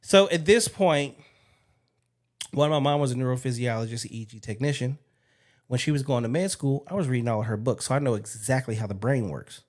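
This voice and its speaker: A man's voice: mid-range voice, animated, a little fast, darkish tone. A man hosting a podcast, talking in a frank, casual way and swearing freely. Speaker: podcast host. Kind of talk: casual interview chat.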